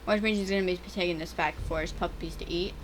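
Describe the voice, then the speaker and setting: A teenage girl chatting and stammering through gameplay commentary on her own channel, with a voice she calls a little deeper and rougher over time. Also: steady tone, fast, noisy background. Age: teens. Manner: chatty, stammering, restarts words. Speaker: gaming YouTuber.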